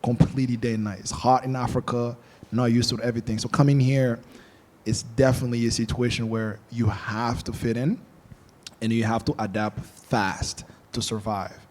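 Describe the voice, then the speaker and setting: A man's voice: mid-range voice, normal speed. Young guy from angola, first language portuguese, chatty storyteller on a podcast panel. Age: young adult. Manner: storytelling, animated quoting of others. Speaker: podcast guest. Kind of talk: casual panel discussion.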